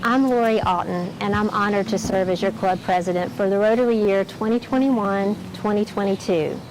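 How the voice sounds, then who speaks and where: A woman's voice: mid-range voice, darkish tone, a little hiss or hum, low-quality recording. A woman speaking as a club president and host, delivering a welcome address and announcements to members.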